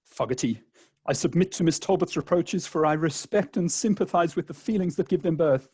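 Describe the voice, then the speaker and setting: A man playing a wounded, sarcastic jilted gentleman in a comic radio play, with a pompous, declamatory delivery. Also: a little high, brisk, slightly rough, no echo. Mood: indignant.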